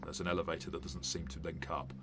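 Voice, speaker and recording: A man's voice: low voice, monotone, fast, noisy background. A man who runs tabletop games, giving a casual, rambling commentary while unboxing a game set, with many fillers and restarts. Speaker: game master and reviewer.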